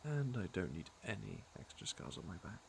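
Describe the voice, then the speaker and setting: Man playing a gravelly, flirtatious fantasy villain. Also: on the low side, very animated, moderate pace, a little mellow, a little hiss or hum. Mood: teasing, flirtatious. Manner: smooth-talking, teasing, intimate. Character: flirtatious, teasing, secretly caring male fantasy villain. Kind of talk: ASMR roleplay acting, intimate second-person address.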